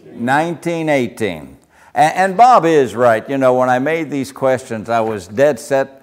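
An older man with a soft, folksy voice leading a casual Bible study class. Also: mid-range voice, lively intonation, unhurried. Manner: soft-spoken, folksy, chuckles often.

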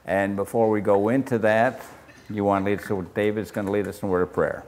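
Soft-spoken older man leading Bible study. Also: fairly low pitch, level tone, fairly quick. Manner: soft-spoken, folksy, chuckles often. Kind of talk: casual Bible class teaching.

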